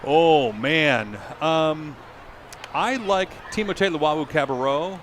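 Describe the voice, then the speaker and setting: A man's voice: fairly high pitch, slow. An American man, a sports announcer, talking casually as an interview guest. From United States, from Michigan and Illinois. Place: TV broadcast booth at an outdoor ballpark. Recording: noisy outdoor ballpark booth. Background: clear ambient stadium noise.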